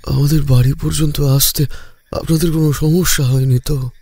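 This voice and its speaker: A man acts an older father in a detective audio story, humble and courteous. His voice is husky and somewhat high for a man, with a polite, deferential delivery. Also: fairly even intonation, moderate pace, warm tone. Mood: humble, welcoming.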